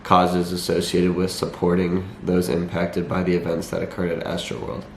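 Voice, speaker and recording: A young man speaks in a low voice that is slightly raspy from a cold. He explains things to viewers in a matter-of-fact vlog style with little change in pitch. The room is echoey, with a faint noise behind him. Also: completely flat delivery, moderate pace. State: has a cold. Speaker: vlogger. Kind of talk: talking to camera, explanatory vlog.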